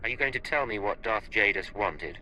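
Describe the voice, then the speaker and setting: Deep, sharp-edged secret agent. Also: male voice, expressive, brisk, poor audio. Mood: firm, questioning. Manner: clipped, cool, formal. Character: terse, self-assured male imperial secret agent. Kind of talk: scripted game cutscene dialogue.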